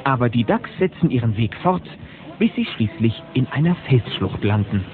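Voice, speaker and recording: An actor as a lively storyteller, narrating a scripted children's adventure audio drama. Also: male voice, medium pitch, moderate pace, lightly acted. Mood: storytelling. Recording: tinny band-limited old cassette.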